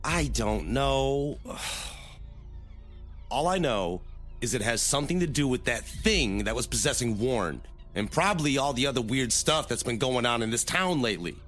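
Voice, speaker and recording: A man acting in an audio drama as a brisk, exasperated take-charge guy fixing a boat. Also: medium pitch, lively intonation, fairly bright tone, soft background music. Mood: grave, urgent.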